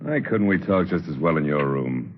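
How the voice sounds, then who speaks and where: An actor playing a surly, defensive heavy gangster in a 1940s radio crime drama, gruff, terse and slangy. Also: male voice, rumbling low, animated, moderate pace. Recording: dull 1940s radio recording.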